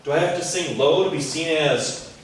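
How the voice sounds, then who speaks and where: A man's voice: on the high side, normal speed, a little hiss or hum. A man performing a reflective spoken monologue in an earnest storytelling manner. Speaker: performer and music educator. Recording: echoey room, mic far off, faint music.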